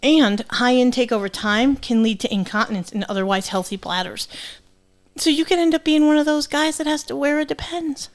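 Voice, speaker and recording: A woman's voice: high voice, a little fast. A middle-aged woman with a New England accent touched by Southern, co-hosting a podcast in a blunt, sweary, teasing way. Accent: New England, with some Southern. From New England, United States. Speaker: podcast co-host. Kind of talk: casual chat with presented research.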